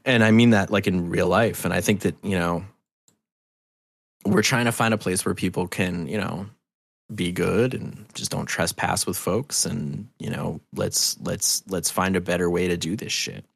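Middle-aged man, gravelly fry, earnest, rambling, sweary, casual interview talk, dry sound. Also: sits low, fairly even intonation, normal speed, dry recording. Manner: earnest, rambling, hesitant restarts, freely swearing. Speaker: podcast guest, audio drama creator. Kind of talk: casual, candid podcast conversation.

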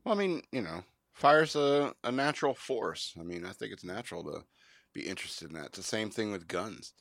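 A man's voice: on the low side, expressive, a little fast, gravelly, a bit bright, no room sound, studio quality. A man hosting a podcast, rambling and joking in casual banter. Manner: rambling, jokey, self-promoting. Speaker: podcast host.

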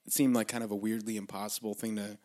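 A man's voice: on the low side, flat delivery, fast, darkish tone. A man hosting a podcast, chatting casually with his guest in a chummy way and swearing freely. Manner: chummy, swears, laughs along. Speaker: podcast host.

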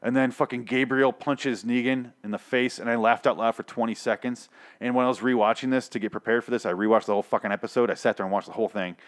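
A man's voice: medium pitch, steady tone, fast talker. A young man reviewing a TV episode in an exasperated, profane rant, full of restarts and rambling asides, his voice getting worn. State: losing his voice. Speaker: TV show reviewer.

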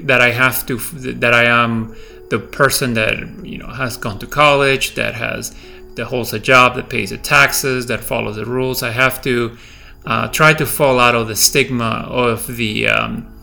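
Gravelly bright-voiced Peruvian-accented man. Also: medium pitch, steady tone, measured pace, soft background music. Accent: Peruvian Spanish. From Peru. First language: Spanish. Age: middle-aged. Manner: hesitant, many fillers and restarts. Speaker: podcast host. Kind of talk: personal reflective monologue.

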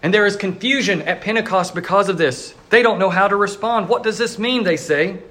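A man, a pastor, preaching a sermon in an earnest storytelling way, now and then voicing the crowd in quick asides. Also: sits high, sharp tone.

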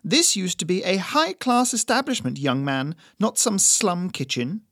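A man voicing a haughty, bigoted old woman who is shrill and blustering. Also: very animated, measured pace, fairly bright tone, dry recording, studio quality, exaggerated voice. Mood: indignant.

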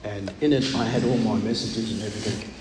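An older man with a loud voice preaches a sermon in a measured, deliberate manner with frequent pauses. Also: on the low side, fairly even intonation. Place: large echoey room, speaking to a congregation. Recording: very echoey hall, clear background noise.

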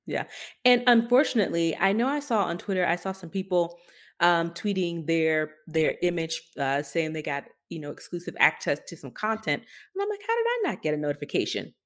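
A woman's voice: fairly low pitch, sing-song, fairly quick. An American woman co-hosting a podcast, talking through tech news in a conversational style. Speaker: podcast co-host.

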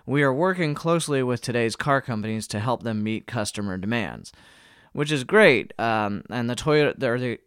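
A man's voice: mid-range voice, a bit bright, clear, resonant tone, no room sound, clean studio sound. An American man with a cold and a slightly wheezy edge, hosting a podcast news rundown with wry, casual asides. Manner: wry, tongue-in-cheek. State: fighting a cold. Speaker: podcast host.

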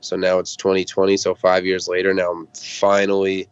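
A young man from Massachusetts with a very low-pitched voice, speaking as an interview guest. He tells his story in a candid, down-to-earth way. The sound is dry and a little dull. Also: completely flat delivery, moderate pace, smooth, no echo. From Massachusetts, United States. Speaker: podcast guest, rapper. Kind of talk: casual interview, personal storytelling.

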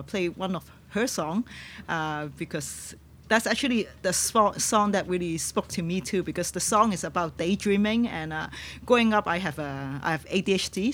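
A woman with a Hong Kong accent, a native Cantonese speaker, talking as a guest musician on a podcast in a halting way, often searching for words. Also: fairly low pitch, a little hiss or hum. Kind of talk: podcast conversation. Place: on a boat in a harbour.

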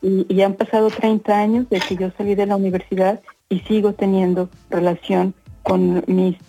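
A woman in her fifties with a Mexican accent, giving conversational interview answers, often pausing and repeating words as she searches for phrasing. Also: mid-range voice, flat delivery, mellow, poor audio. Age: 50s. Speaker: interview guest, corporate professional.

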